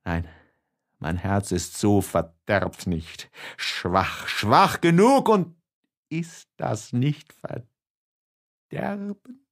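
Audiobook role: tormented young male lover, played by a male reader, slow, impassioned, sighing, sensitive. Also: on the low side, lively intonation, exaggerated voice. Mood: yearning, tormented. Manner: impassioned, sighing, swinging between hush and exaltation. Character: lovestruck, tormented, sensitive young man writing letters.

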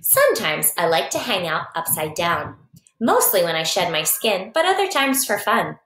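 A woman's voice: on the low side, sing-song, moderate pace, a bit bright. A woman voicing a small, cocky young male praying mantis reading out his diary in playful, boastful short sentences, storybook style. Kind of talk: expressive children's storybook reading. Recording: echoey basement room.

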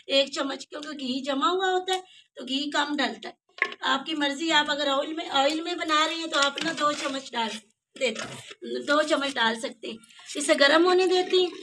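A Pakistani woman with a high voice talks through a home recipe in a chatty, homely way. She is recorded by phone outdoors at a clay stove at night, and her voice sits a little far from the mic. Loud frying and sizzling run underneath. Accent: Pakistani Urdu. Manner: chatty, homely, pleads with viewers to subscribe. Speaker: cooking vlogger. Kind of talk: casual cooking narration. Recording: distant voice with sizzling noise.

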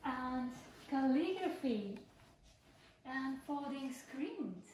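A woman slowly guiding a walking tour through a large indoor craft shop, admiring the goods as she goes. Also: high voice, lively intonation, a little mellow, recorded from a distance, a little hiss or hum. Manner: slow, careful, admiring. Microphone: camera at a distance. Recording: roomy, from off-mic, music behind.

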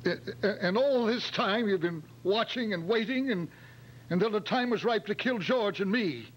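An older man playing a nervous, flustered gentleman in a radio play, stammering and repeating his words. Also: high-pitched, moderate pace, rough recording. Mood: agitated. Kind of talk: radio play read from script. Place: function room, live staged reading. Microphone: stand microphone. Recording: faint noise and hum, old amateur tape.

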